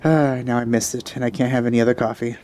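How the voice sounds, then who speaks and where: A man's voice: medium pitch, level tone, quick pace, darkish tone, a little hiss or hum. A man giving casual let's play commentary in a rambling, hesitant way, often correcting himself, close to the microphone.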